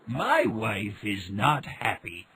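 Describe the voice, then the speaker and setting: A man voicing a kindly old fisherman in a storybook, speaking in a gentle, slightly raised voice. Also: fairly low pitch, wildly swooping pitch, takes it slowly, vocal fry, a little hiss or hum, heavily acted. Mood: mild.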